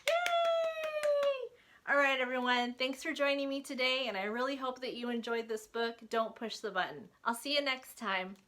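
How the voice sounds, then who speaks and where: Animated, bright-voiced woman reading storytime. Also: sits high, normal speed. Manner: animated, addresses young listeners directly with questions and invitations. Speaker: children's librarian reading storytime. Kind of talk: read-aloud picture book storytime for young children.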